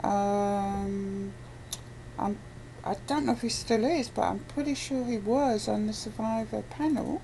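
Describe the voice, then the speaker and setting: A woman's voice: medium pitch, unhurried, creaky, dark tone, poor audio. A woman speaking informally as an interviewer in a casual, conversational chat. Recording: faint background noise.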